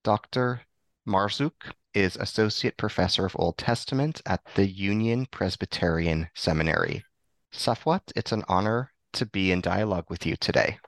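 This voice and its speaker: Male podcast host, deep gravelly voice, formal measured delivery. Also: fairly bright tone, no room sound. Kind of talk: scripted podcast introduction.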